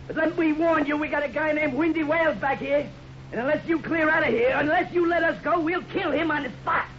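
A man's voice: extremely high pitch, a little fast, fairly bright tone. A man playing a scheming, bossy outlaw leader in a 1950s radio western, giving urgent commands. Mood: threatening. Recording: noisy muffled old radio recording.

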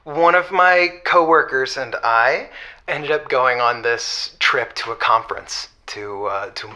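A man playing an eager, nervous, smitten office worker phoning a radio show in an audio drama, halting and gushing. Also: mid-range voice, sharp tone. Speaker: caller. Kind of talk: semi-improvised audio drama acting.

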